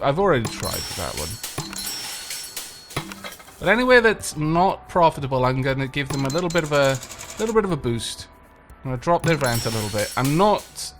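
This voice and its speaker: A man with a slight cold, chatting playfully to himself and the game's tenants as he plays. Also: medium pitch. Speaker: let's play host. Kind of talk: casual gameplay commentary. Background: game music.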